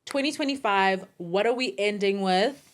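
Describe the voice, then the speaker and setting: A woman's voice: medium pitch, moderate pace. A woman co-hosting a casual podcast chat in an affirming, supportive way. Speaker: podcast co-host.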